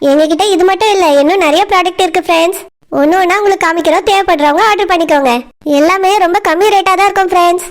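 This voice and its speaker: A woman with an extremely high voice gives a quick, chatty sales pitch as a channel presenter. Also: fairly even intonation. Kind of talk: chatty product promotion.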